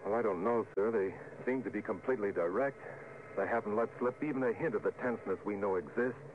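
A cautious, thoughtful ship's psychologist played by a man talks in a measured, slightly low voice. It is vintage radio drama, very narrow in sound with faint noise. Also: lightly acted. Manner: measured, hesitant. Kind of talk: 1950s radio drama acting. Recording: phone-like vintage radio recording, faint hiss.

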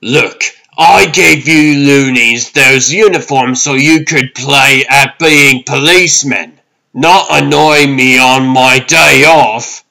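Man voicing a brash, bright-voiced raccoon hero. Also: medium pitch, slow pace, gravelly, exaggerated voice, poor audio. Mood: exasperated. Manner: dry, sarcastic, bossy. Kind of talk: comic-book dub acting. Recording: music under the voice.

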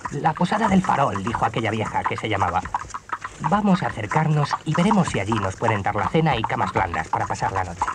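An actor playing an earnest, poor peasant man with a husky, expressive voice on a storybook cassette. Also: on the low side, quick pace, very noisy. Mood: friendly.

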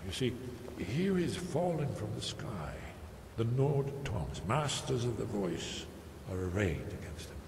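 Echoey noisy hall, an old loremaster from a fantasy game, absorbed, lecturing away. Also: male voice, lowish voice, big pitch swings, vocal fry, exaggerated voice. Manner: musing, lecturing, drawn-out emphasis. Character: elderly scholarly male loremaster, absorbed and rambling. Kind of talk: video game character dialogue. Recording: echoey stone hall, background noise.

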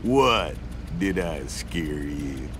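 A man's voice: rumbling low, expressive, extremely slow, background noise, heavily acted. A man voicing a big, scornful country tow truck bully with a Southern US accent in a cartoon video game. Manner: folksy, menacing, scornful. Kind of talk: animated video game acting.

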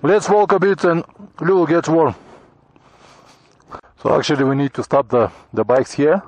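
A man's voice: a little high, expressive, no room sound. Bulgarian guy on a bike trip, talking into his action cam outside, dry close sound, broken-ish english, thanking everyone. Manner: warm, grateful, halting non-native English with restarts. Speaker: motorcycle travel vlogger. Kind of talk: casual travel vlog talk to camera. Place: high mountain pass outdoors. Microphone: action camera on helmet or in hand.

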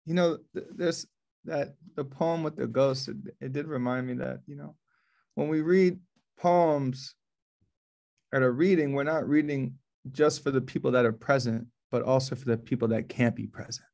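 An American man in his thirties hosting a poetry reading in a casual, colloquial way, often halting and repeating words. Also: mid-range voice, fairly even intonation, vocal fry, a little mellow. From New Orleans, USA. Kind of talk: casual, informal event hosting. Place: small office, indoors. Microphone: laptop mic on a video call.

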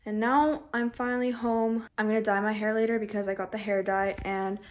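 A woman's voice: medium pitch, fairly even intonation, a little fast. Teen girl, from Canada, casual vlog chatter, upbeat, bedroom, phone held at arm's length. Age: 17, teenager. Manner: chatty, upbeat, lots of "like" and "literally". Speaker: vlogger. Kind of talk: casual vlog chat to camera. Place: bedroom at home, indoors.

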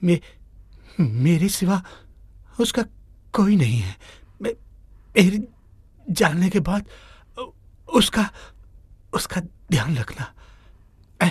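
A woman voicing a devoted, gentle young village son in an animated moral story. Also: on the low side, extremely slow, long pauses, slightly rough, dry recording, clean studio sound, full character voice. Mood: weak, pleading. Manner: earnest, gentle.

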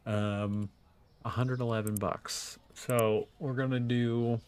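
A man's voice: mid-range voice, flat delivery, slow pace, a little mellow, smooth. A man casually commentating while he plays a store management game, muttering his thoughts aloud in a laid-back way. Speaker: gameplay video host. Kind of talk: casual let's play commentary.

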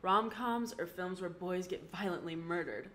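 Brief: bubbly, teasing teen girl best friend, put on by a woman narrator, playful and excitable, pitched up a little above a low-ish voice, faint hiss behind. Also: moderate pace, natural voice.

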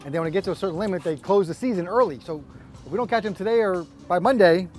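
A man's voice: high voice, fast talker, darkish tone. A middle-aged man chatting casually to camera on a fishing boat at sea, with a joking, teasing manner. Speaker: fishing vlog co-host. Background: music.

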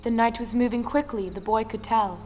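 A woman's voice: a little high, steady tone, normal speed, a little mellow, natural voice. An actress playing a female storyteller, giving dramatic narration of an old folktale. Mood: storytelling. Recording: music behind the voice. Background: music.

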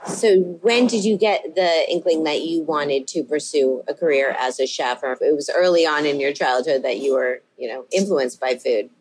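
A woman's voice: low-pitched, moderate pace, few pauses, a little mellow, slightly rough, rough recording. A woman hosting a podcast interview, asking friendly questions with light laughter. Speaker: podcast host. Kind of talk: casual interview.

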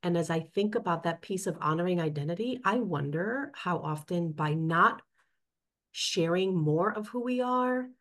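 A woman from New York talks through a webinar on a video call, with a slightly low voice. She reflects on her time as a principal and reads out comments from the chat. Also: expressive, normal speed. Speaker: webinar co-host, former principal. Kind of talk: conversational webinar discussion.

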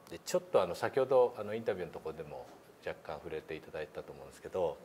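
A man's voice: low-pitched, expressive, very fast, noisy background. A man teaching as a lesson instructor, explaining politely and informally, with some hesitation, in a room. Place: meeting room indoors.

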